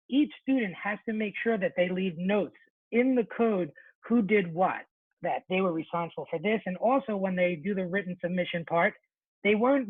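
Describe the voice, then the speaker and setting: A man presenting an informal webinar for teachers, chatty and relaxed, often correcting himself mid-sentence. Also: sits high, husky, no echo. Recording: thin phone-like webinar audio, dry.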